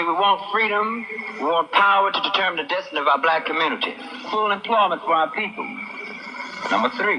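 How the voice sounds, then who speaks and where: A man in an archive clip giving an impassioned, declamatory account. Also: a little high. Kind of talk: recalled storytelling speech. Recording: noisy distant archive playback.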